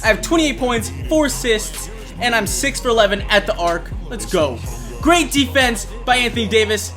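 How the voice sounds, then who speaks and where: A man's voice: extremely high pitch, expressive, normal speed, music in the background. A man giving self-hyping play-by-play commentary on his own basketball video game, narrating each play in the present tense. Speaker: video gamer and channel host.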